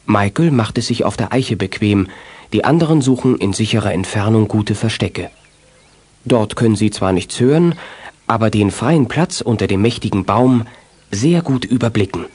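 A man's voice: lowish voice, lively intonation, moderate pace, darkish tone, husky. A man narrating a scripted German children's detective radio play as its storyteller. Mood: scene-setting.

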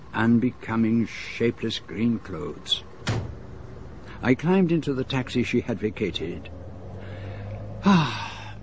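Slow, fussy detective narrator. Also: male voice, mid-range voice, a little hiss or hum. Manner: measured, dramatic, wryly self-amused storytelling. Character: fussy, dentist-fearing male detective narrating his own story. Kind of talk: radio drama narration and dialogue.

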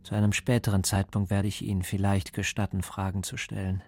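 A man playing a calm, controlling interrogator in a radio drama, putting measured, cold questions. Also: lowish voice, natural voice. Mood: cold control. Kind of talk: German radio drama acting.

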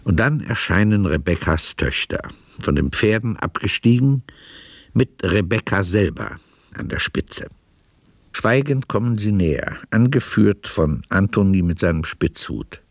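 A man narrating a radio drama from a script in a measured, descriptive way. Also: lowish voice, very animated, creaky, no echo.

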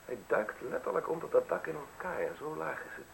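Sly, creaky-voiced, theatrical uninvited visitor, male. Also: on the high side, big pitch swings, full character voice, rough recording. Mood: dreamy, wry. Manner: theatrical, deliberate, ornate phrasing with pauses. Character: sly, soft-spoken uninvited male visitor, falsely modest and observant. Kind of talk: Dutch radio play acting. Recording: hissy radio drama recording.

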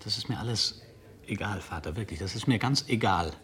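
A man's voice: fairly low pitch, animated, brisk, fairly bright tone. A man playing a young adult son in a German radio drama, stubborn and fed up, speaking in a blunt, dismissive way. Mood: weary, defiant.

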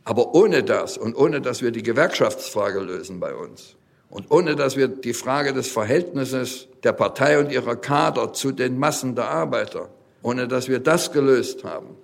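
Gravelly man making an emphatic political statement. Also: mid-range voice.

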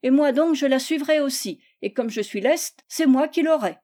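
A woman's voice: high voice, normal speed, warm tone, pitched above the performer's own voice, lightly acted. A woman voicing an eager, persistent little boy in a storybook, pleading and teasing. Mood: determined, teasing.

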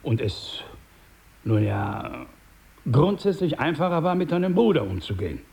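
An actor playing a paternal but menacing older crime boss in a radio drama, speaking in a measured, condescending, smooth-talking way. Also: male voice, fairly high pitch, very animated, a little mellow. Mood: patronising, measured.

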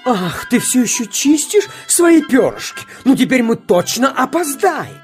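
A woman voicing a big, grumbling but knowledgeable male grey crane in a children's audio fairy tale, sighing and expressive. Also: high voice, a little slow, faint music behind. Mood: impatient.

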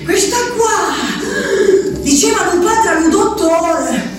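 A woman's voice: extremely high pitch, expressive, slow, exaggerated voice. An actress playing a restless peasant wife shut in an asylum, telling her story in an intimate, obsessive, repetitive way with an Abruzzo Italian accent, as a theatrical radio monologue. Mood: excited, scandalized. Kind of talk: theatrical radio drama monologue, reciting a letter. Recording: roomy, distant, noisy radio-theatre recording.

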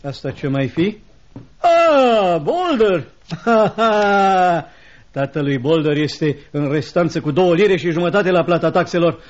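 An actor playing a cruel, gloating schoolmaster in a theatrical radio drama, pompous and bullying with a mock-jovial manner. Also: male voice, sits high, expressive. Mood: sneering, officious. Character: cruel, gloating schoolmaster tyrant, a grown man. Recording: dull lo-fi radio play recording.

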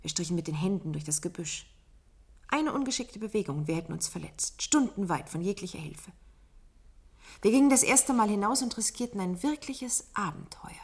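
A wistful yet resolute character in a radio drama. Her medium-pitched voice is husky and breathy, reflective in delivery. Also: darkish tone. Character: resolute, wistful woman recalling adventures outdoors.

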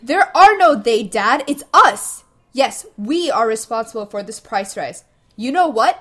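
A woman playing a slow, calm, reasoning daughter. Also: on the high side, heavily acted. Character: level-headed young adult daughter arguing with her dad. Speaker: daughter in a radio play. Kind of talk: audio play acting.

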